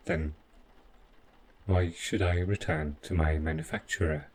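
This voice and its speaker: A man's voice: low voice, darkish tone, husky, exaggerated voice, rough recording. A man playing a polite, devoted robot butler in an audio drama, speaking in a formal, stiffly precise and deferential manner. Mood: troubled, probing. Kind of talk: scripted roleplay audio drama.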